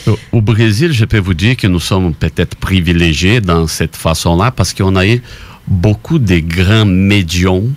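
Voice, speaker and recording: Deep-voiced Brazilian man, earnest guest. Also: unhurried. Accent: Brazilian Portuguese. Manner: earnest, slightly non-native phrasing. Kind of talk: interview answers.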